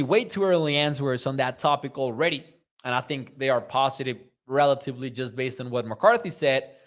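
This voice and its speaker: Mexican-accented man hosting a sports stream. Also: fairly high pitch. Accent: Mexican Spanish. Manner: conversational, reads viewer comments aloud. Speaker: podcast host. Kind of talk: livestream sports talk reading chat.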